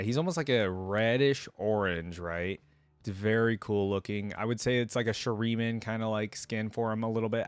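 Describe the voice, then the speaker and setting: A man's voice: fairly low pitch, no room sound. A young man giving casual, chatty game reaction commentary, musing aloud as he goes. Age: young adult. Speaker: reaction video host. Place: small indoor room at a desk. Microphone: close desk microphone, wearing headset.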